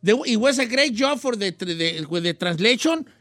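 An enthusiastic male talk show host who mixes Spanish and English. His voice is very high and bright, recorded dry and close. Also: expressive, a little slow, no room sound. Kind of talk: casual talk show hosting.

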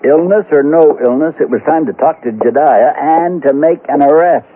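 A man's voice: on the high side, normal speed, background noise, lightly acted. Actor as a dogged Western lawman, tinny radio sound. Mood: brooding. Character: dogged, plain-spoken Western lawman narrating his case. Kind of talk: old-time radio drama narration and dialogue. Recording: tinny old radio broadcast, music behind.

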